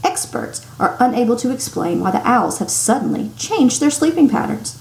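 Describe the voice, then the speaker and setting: A woman teacher reading a story aloud to children in an expressive, animated way with dramatic emphasis. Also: on the high side, normal speed. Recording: echoey room with clear background noise.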